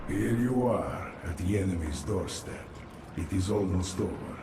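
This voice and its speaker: An actor playing a sly infested undead soldier in a video game, with theatrical, drawn-out delivery. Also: male voice, low voice, vocal fry, very noisy. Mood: sly teasing. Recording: distant, echoey, music over voice.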